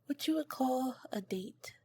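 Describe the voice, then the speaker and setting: A woman playing an awkward, earnest female alien scientist in an ASMR roleplay, soft-spoken, with formal, clinical wording and flustered hesitations. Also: sits high, lively intonation, a little slow, breathy edge, full character voice.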